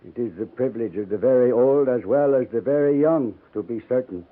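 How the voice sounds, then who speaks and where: A man's voice: mid-range voice, close to an everyday voice. Tinny old-radio dying old chief, played by a man. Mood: grave, reflective. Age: older. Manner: solemn, measured, formal poetic phrasing. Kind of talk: 1950s radio drama acting. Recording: narrow tinny 1950s radio recording.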